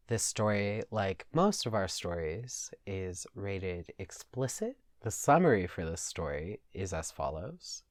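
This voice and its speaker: Low-voiced man reading in a dry studio. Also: no room sound. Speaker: podcast host and story reader. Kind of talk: scripted podcast narration, reading fan fiction aloud.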